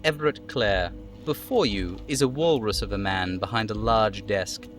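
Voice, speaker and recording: A man's voice: lowish voice, normal speed, fairly bright tone. A man streaming a game, giving a live dramatic reading of its narration and dialogue. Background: faint music.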